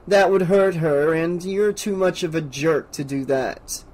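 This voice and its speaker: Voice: medium pitch, a little slow, a little mellow, natural voice, rough recording. A narrator puts on a confident, crafty young man from an audiobook novel. He is officious and cold, with a cocky edge to his speech.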